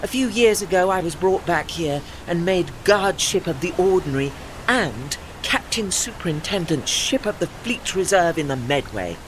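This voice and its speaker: A man in an audio play acting as a refined, melancholy old retired warship with gentle dignity and formal, genteel speech. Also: high voice, expressive, moderate pace, a little hiss or hum, low-quality recording. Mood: modest, wry.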